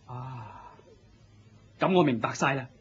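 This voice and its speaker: An actor playing an earnest, naive young disciple in a Hong Kong Cantonese radio drama, humble and deferential. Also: male voice, medium pitch, expressive, slow, a bit bright, husky, muffled recording, full character voice. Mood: humble, obedient. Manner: deferential, eager.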